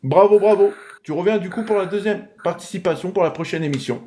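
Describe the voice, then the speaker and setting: High-voiced chatty male stream host. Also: fast, warm tone. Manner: chatty, thanks viewers, runs a quiz. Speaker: live show host. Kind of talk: casual live-stream chat.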